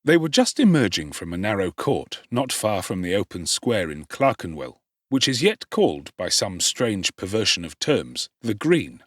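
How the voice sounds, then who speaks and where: A man narrating a classic novel in a measured, formal storytelling manner with expressive emphasis. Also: on the low side, no echo, clean studio sound. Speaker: audiobook narrator.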